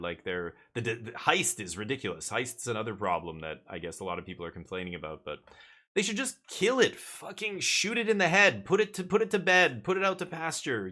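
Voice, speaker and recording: A man from Canada talks loosely through a live gaming stream, blunt and opinionated. He swears freely and trips over repeated words when he gets worked up. His voice is bright and forward, sitting at an ordinary male pitch. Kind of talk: casual live-stream chat while gaming.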